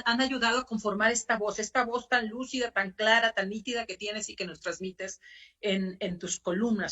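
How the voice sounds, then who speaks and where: Bright-voiced, effusive woman moderator. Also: medium pitch. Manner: effusive, affectionate, emphatic. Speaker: panel moderator. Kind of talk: conversational literary interviewing.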